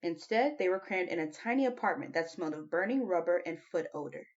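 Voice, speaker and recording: A woman's voice: on the low side, lively intonation, brisk, highs cut off. A woman reading a children's novel aloud as an audiobook narrator. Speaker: audiobook reader.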